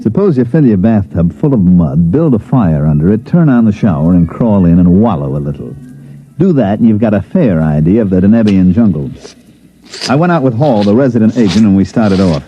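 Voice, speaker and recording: An actor playing a wisecracking, sardonic special agent in a 1950s radio drama, both speaking and narrating in a dry, hard-boiled way. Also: male voice, fairly low pitch, big pitch swings, a little fast, dark tone, slightly rough. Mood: dry, wry narration. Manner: wry, sardonic. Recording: dull lo-fi vintage radio, faint music.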